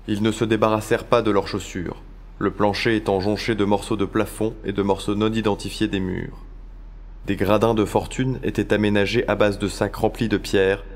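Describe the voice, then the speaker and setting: A man narrating a scripted audio drama in a sober, literary storytelling manner. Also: on the low side.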